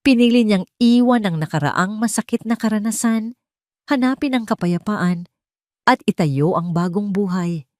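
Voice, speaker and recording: A woman's voice: mid-range voice, moderate pace, a little mellow, no echo, studio quality. A woman reading a scripted, dramatic romance story as narrator.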